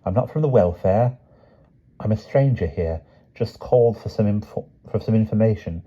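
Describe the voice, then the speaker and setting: A male narrator voicing a calm, composed young woman with a dry, wry way of talking. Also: lowish voice, moderate pace, highs cut off, pitched above the performer's own voice.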